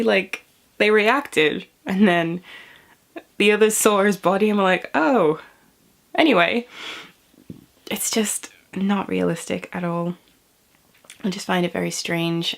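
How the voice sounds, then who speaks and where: Young british woman, low voice, stuffy cold, chatty vlog, rambling, laughs often. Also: vocal fry. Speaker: vlogger. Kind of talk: casual chat to camera.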